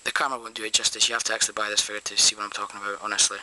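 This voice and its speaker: A man's voice: fairly low pitch, monotone, racing, sharp tone, low-quality recording. A Scottish man from Scotland reviewing an action figure at his desk in a casual vlog, enthusiastic and rambling with lots of fillers. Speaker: toy reviewer. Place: small room at a desk.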